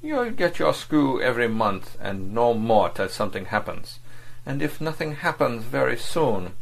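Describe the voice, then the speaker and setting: A man's voice: medium pitch, big pitch swings, moderate pace, vocal fry, low-quality recording. A man voicing a suave, cynical foreign diplomat who mocks and threatens his agent. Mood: menacing. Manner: mocking, sneering, overbearing.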